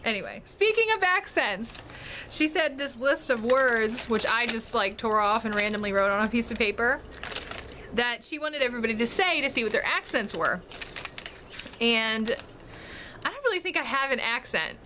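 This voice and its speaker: A woman's voice: on the high side, lively intonation, normal speed, bright, forceful tone, slight background noise. A woman with a Chesapeake accent chatting casually at home, rambling and giggling often. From Washington, D.C. metro area. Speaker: vlogger. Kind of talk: casual chat to camera. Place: home in North Carolina.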